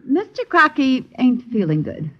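A woman's voice: high voice, very animated, normal speed, dry recording. A scheming landlady, dull old recording. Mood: wary, defensive. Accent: American. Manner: urgent, defensive, plain-spoken. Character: scheming, suspicious, miserly landlady, sharp with husband. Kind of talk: 1950s American radio drama acting. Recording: dull, dry 1950s radio recording.

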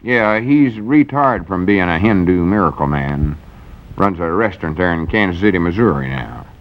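A folksy, gullible country fellow from a vintage radio comedy. His low voice swings freely up and down as he earnestly explains things in a rural Southern American drawl. The sound is dull, old lo-fi broadcast audio. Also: animated, moderate pace. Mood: earnest, reassuring. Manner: drawling, folksy, rustic dialect. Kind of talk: 1940s radio comedy acting.

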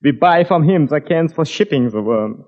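An actor playing an excitable worm farm partner who stammers and gushes, in 1940s radio acting. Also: male voice, on the high side, normal speed, close to an everyday voice. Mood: excited. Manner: fussy, stammering, gushing. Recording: dull old radio recording.

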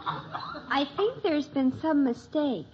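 A woman's voice: high-pitched, animated, takes it slowly. A woman playing a self-conscious, melodramatic teenage daughter in a 1950s radio sitcom, with emphatic, overwrought delivery. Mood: flustered. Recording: muffled old radio recording, faint noise.

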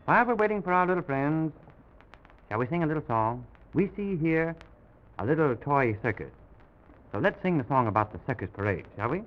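A man hosting an old-time children's radio show, speaking gently and simply to small children as he tells a make-believe story. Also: fairly high pitch, animated, brisk, a little hiss or hum. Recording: dull old radio recording with music.

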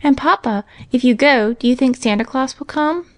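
A woman's voice: high voice, moderate pace, dry recording, voice pushed up, natural voice. A woman voicing an eager, impulsive and slightly timid young farm boy in a storybook reading. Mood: anxious, hopeful. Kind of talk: storybook character voice.

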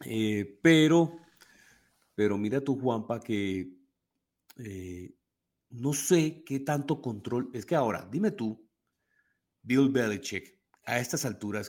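A man's voice: fairly low pitch, animated, unhurried. A man with a Colombian Spanish accent co-hosting a sports podcast, talking animatedly and restarting his sentences often. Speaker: podcast co-host. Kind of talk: casual sports talk.

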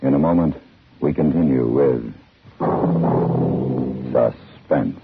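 A man's voice: very deep voice, takes it slowly, over loud music. A man working as a program announcer, delivering a scripted 1950s radio announcement. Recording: tinny 1950s radio recording.

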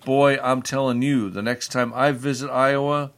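A man's voice: mid-range voice, level tone, moderate pace, fairly bright tone. A man co-hosting a casual podcast, reading news items aloud with sardonic jokes and some stumbles. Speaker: podcast co-host.

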